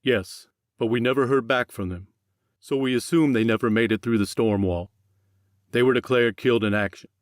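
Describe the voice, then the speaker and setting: A man's voice: mid-range voice, moderate pace, no room sound, studio quality, lightly acted. A man voicing a guarded, pained mission overseer in an audiobook, explaining himself tersely and defensively. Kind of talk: audiobook character acting.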